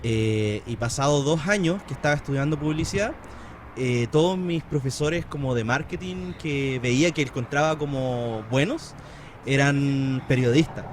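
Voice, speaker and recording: A man in his thirties from Chile with a Chilean Spanish accent, chatting casually as a podcast guest, relaxed and full of fillers and slang. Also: mid-range voice, normal speed, a little hiss or hum. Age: 30s. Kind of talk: casual conversation.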